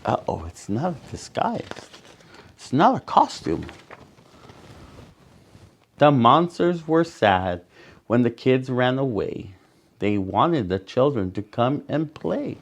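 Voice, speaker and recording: A man reading a picture book aloud to children as a storyteller, with dramatic pauses and asides to his young listeners. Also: mid-range voice, very animated, vocal fry.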